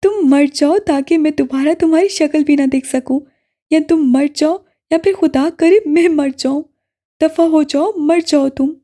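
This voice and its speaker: A woman's voice: high voice, steady tone, mellow, lightly acted. A woman doing a distraught young sister. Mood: screaming. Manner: pleading, then screaming and cursing. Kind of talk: audiobook character voice.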